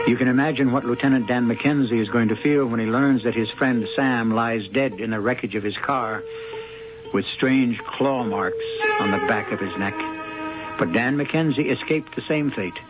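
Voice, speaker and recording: Man narrating a radio mystery gravely. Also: medium pitch. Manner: grave, measured storytelling. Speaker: narrator host. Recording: dull old radio broadcast with music.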